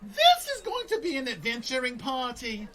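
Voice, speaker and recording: A woman playing a small, impatient, excitable fantasy adventurer in a comedy radio play. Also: sits high, normal speed, exaggerated voice.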